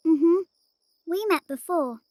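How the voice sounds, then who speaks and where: A woman voicing a gentle, sorrowful, mysterious little girl who speaks softly and haltingly in a fantasy game. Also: very high voice, no echo, clean studio sound. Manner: soft, halting, regretful. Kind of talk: animated fantasy game voice acting.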